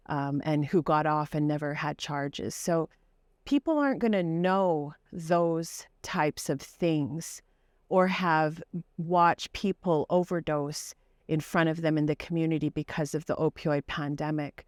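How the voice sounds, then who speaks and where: A woman's voice: low voice, no room sound, studio quality. A woman in her forties, a psychologist and advocate, speaking earnestly and passionately in an interview, with frequent fillers. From Canada. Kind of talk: interview conversation.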